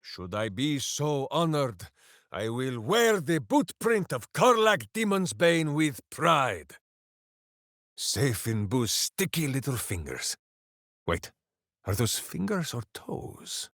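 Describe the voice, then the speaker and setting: A boisterous, simple-minded hero of a fantasy game, big-hearted and utterly earnest. His pitch leaps and drops theatrically as he delivers each pronouncement slowly and grandly, in a dry, close recording. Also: very animated, a bit bright, no room sound, full character voice. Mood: proud. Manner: bombastic, earnest, speaks of himself in third person. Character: big-hearted, boisterous, dim-witted heroic ranger warrior. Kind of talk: video game character voice lines.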